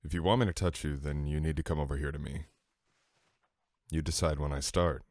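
A man playing a sweet, teasing boyfriend in an intimate ASMR audio roleplay, coaxing softly and unhurriedly. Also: extremely low pitch, steady tone, fairly quick, no echo, studio quality. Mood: tender coaxing.

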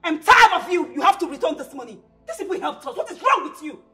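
An actress playing a desperate wife who begs and shouts at her husband. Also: mid-range voice, sing-song, normal speed, faint music behind, lightly acted. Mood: furious. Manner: pleading, then shouting. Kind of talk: Nigerian drama skit acting.

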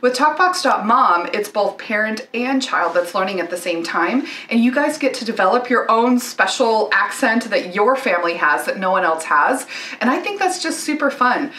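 A woman's voice: mid-range voice, lively intonation, moderate pace, bright, forceful tone. An American woman in her thirties talking casually to camera in a chatty, explanatory way. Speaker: company spokesperson, former nanny. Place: indoor living room. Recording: roomy, distant-sounding mic.

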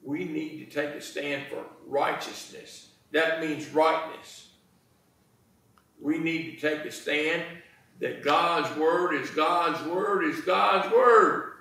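Older American man, folksy preacher, slightly high-pitched voice, deliberate phrasing, very reverberant church, distant mic. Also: vocal fry, pushed and bright. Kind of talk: sermon with folksy storytelling. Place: church sanctuary, indoors. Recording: very echoey church, distant microphone.

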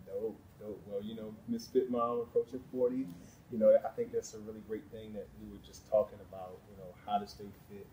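A man in his thirties hosting an interview, relaxed and affirming, with casual slang. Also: on the low side, brisk, a little hiss or hum, poor audio. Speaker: podcast host. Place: large indoor sports facility studio.

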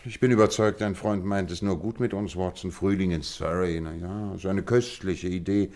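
Male actor as tired detective, very low voice, languid, wry, dryly ironic, radio play. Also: fairly even intonation, moderate pace, darkish tone, lightly acted. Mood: wry, reluctant. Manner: languid, wry, with hesitant murmurs. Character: weary, dryly ironic detective recovering from exhaustion. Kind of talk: German radio play acting.

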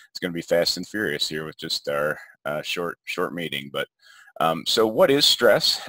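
A man presenting an informal educational webinar over slides as a health educator, in a halting way. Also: low voice, sharp tone, no echo.

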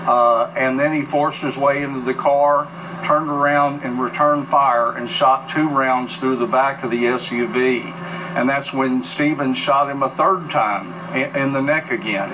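A man in his sixties with an American accent, recounting events as an interview guest in a sober, halting, matter-of-fact way. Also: mid-range voice. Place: news bureau studio, replayed on a TV in a room. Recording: distant TV filmed off screen, clear noise.

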